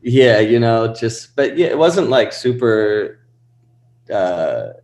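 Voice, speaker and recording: A man's voice: medium pitch, big pitch swings, takes it slowly, a little mellow, roomy sound. A man in his thirties, a musician guest chatting casually and rambling with many fillers and restarts. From born in Pakistan, raised in Rhode Island. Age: 30s. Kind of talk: casual interview chat.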